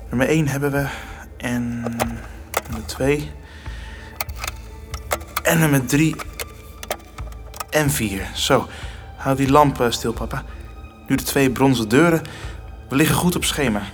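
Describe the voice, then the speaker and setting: An actor playing a daring teenage son in a radio drama, terse, calm and resolute. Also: male voice, medium pitch, slow pace, long pauses, close to an everyday voice. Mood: focused. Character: cool, determined teenage son, daring young burglar. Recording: music behind the voice.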